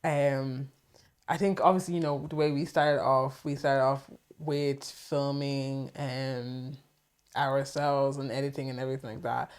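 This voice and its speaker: Chatty woman in her twenties, very low-pitched. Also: unhurried. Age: 20s. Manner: relaxed, chatty, breaks into laughter between phrases. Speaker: podcast co-host. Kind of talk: casual conversational chat.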